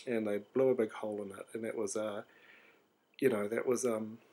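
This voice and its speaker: A man's voice: on the low side, steady tone, moderate pace, warm tone. A man, an artist rambling through a sleepy, hesitant video diary full of ums and restarts. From New Zealand. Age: 30s.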